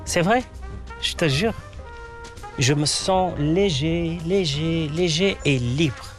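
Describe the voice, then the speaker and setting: A man's voice: on the high side, takes it slowly, faint music behind. A man whose first language is Arabic, a playwright answering interview questions in a hesitant way, with many fillers and restarts. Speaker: playwright guest.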